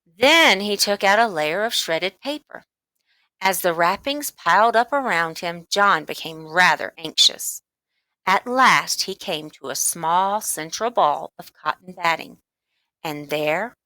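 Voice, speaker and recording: A woman reading a children's book aloud as expressive, deliberate scripted narration. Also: on the low side, no room sound. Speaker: storybook reader.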